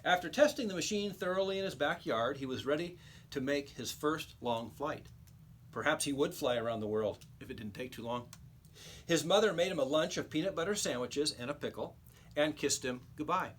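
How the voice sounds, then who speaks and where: A man reading a children's storybook aloud like a teacher, with expressive, emphatic storytelling. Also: a little high, moderate pace, a little hiss or hum.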